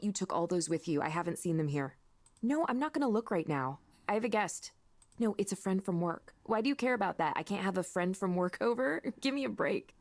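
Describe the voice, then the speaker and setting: An actress playing a candid, kindly co-worker in a visual novel, with a frank, conversational delivery. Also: female voice, mid-range voice, close to an everyday voice. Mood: exasperated. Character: candid, weary but kindly woman, friendly co-worker.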